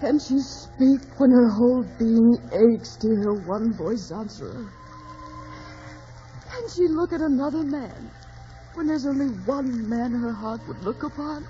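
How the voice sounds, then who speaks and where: Casting: lovesick, headstrong girl, very high pleading voice, vintage radio melodrama over prominent music. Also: takes it slowly, warm tone. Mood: despairing. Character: anguished, headstrong young woman in love. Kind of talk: 1940s radio drama acting. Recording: dull old radio recording, music behind.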